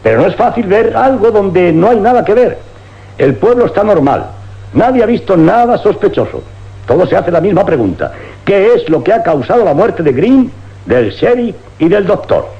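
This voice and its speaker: An actor playing a brisk, determined big-city police inspector in a radio play, with emphatic, theatrical delivery. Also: male voice, high voice, very animated. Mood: defensive, frustrated. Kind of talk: dramatic radio play acting. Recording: dull lo-fi old radio recording.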